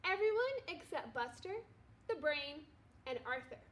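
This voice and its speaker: A woman, a primary school teacher, reading a children's storybook aloud in an expressive way. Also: sits high, unhurried. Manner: expressive, acts out dialogue. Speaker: second-grade teacher.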